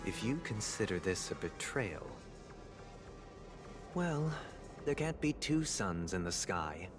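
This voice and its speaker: An actor playing a resolute, composed young zealot in a video game, with a poised, formal, theatrical delivery. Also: male voice, low-pitched, very animated, slow, exaggerated voice. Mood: defiant, composed. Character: resolute young male zealot, devoted brother, composed and lofty. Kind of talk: dramatic video game acting. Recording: game audio under loud music.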